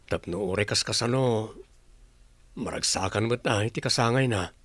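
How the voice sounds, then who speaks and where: A man's voice: fairly low pitch, normal speed, a bit bright, no echo. Resigned father, dry studio sound. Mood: resigned, pleading. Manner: hesitant, placating. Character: struggling, gentle, resigned father of a young child. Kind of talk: radio drama acting. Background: music.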